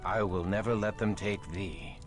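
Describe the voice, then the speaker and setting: An actor playing an earnest, wounded young crusader knight in a video game, speaking in formal archaic English. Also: male voice, fairly even intonation, normal speed, close to an everyday voice. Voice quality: deep, archaic formal speech. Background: game music.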